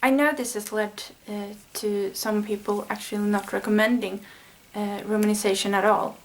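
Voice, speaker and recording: A woman's voice: mid-range voice, fairly even intonation, moderate pace, poor audio. A Swedish-speaking woman explaining language study in a casual, earnest vlog lesson, thinking aloud with small fillers. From Sweden. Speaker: language learning vlogger.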